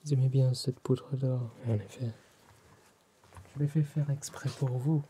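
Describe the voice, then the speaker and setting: A Frenchman speaks in a hushed, breathy whisper, very unhurried and mellow. He plays an attentive tailor in an ASMR roleplay, with courteous, formal wording. Faint noise sits behind his voice. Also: medium pitch, steady tone. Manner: polite, formal, attentive shopkeeper address.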